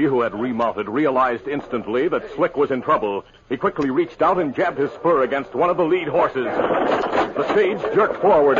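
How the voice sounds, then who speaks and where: An American man giving measured, suspenseful scripted narration in a dramatic 1950s radio style. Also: a little high. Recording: noisy, muffled old radio recording.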